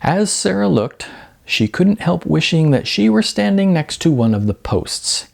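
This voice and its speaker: A man's voice: fairly high pitch, sing-song, a little slow, vocal fry, a little mellow. A man narrating comic fiction expressively, with a wry, emphatic, sardonic delivery. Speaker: audiobook narrator.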